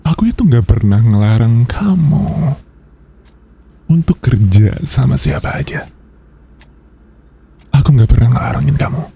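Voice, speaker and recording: A man acts out a possessive yandere boss boyfriend in an ASMR roleplay. His voice is very dark and husky, heavy with breath, and he speaks slowly and close. The tone is coaxing yet controlling, menace wrapped in softness. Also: mid-range voice, animated, full character voice. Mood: cold, controlled menace. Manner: slow, coaxing, controlling, with soft threats.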